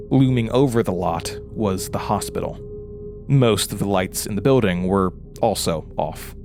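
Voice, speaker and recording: An actor playing a haunted, weary, wry man who confides his story haltingly in a horror audio drama. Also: on the low side. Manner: hesitant, confessional, halting. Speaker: lead character and narrator of a horror audio drama. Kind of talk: horror audio drama acting, narration and intimate dialogue.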